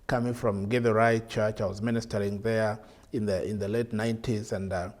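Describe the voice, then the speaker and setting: A middle-aged Kenyan man, a bishop, teaching a televised sermon from a studio desk with earnest rhetorical questions and drawn-out emphasis. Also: on the low side, level tone.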